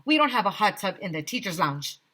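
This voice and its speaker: A woman's voice: mid-range voice, big pitch swings, a little fast, sharp tone. A woman voicing exasperated school staff in a children's storybook, exclaiming in disbelief. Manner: exclaiming, incredulous. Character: indignant, exasperated school teachers yelling protests. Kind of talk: children's storybook read-aloud.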